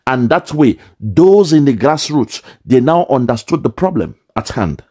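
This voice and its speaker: A man breaks down a clip for his viewers in an indignant commentary, repeating himself and firing off rhetorical questions. His voice is mellow and dark in tone, and his pitch is middling. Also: big pitch swings, a little slow, husky. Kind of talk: commentary on a played video.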